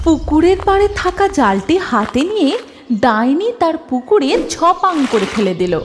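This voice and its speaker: A woman's voice: high-pitched, very animated, normal speed, background noise. A woman narrating a scripted cartoon story as the storyteller.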